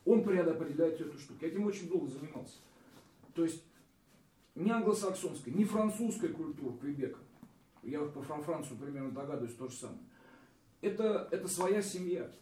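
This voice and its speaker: A man's voice: on the high side, expressive, a little fast, mellow, distant mic. A man in a group discussion, insistently arguing his point. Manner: argumentative, insistent. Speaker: emigrant discussion guest. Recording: roomy sound from off mic.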